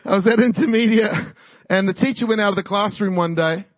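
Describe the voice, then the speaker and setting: A man's voice: high voice, a little fast, dry recording. A man in his forties with a New Zealand accent, a guest preacher giving a chatty, exuberant sermon and personal testimony in a church, often laughing and rallying the crowd.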